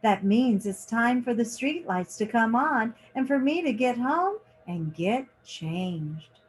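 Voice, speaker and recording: Slow-paced woman reading a picture book. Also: a little high, lively intonation, a little hiss or hum. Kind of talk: children's picture book read-aloud.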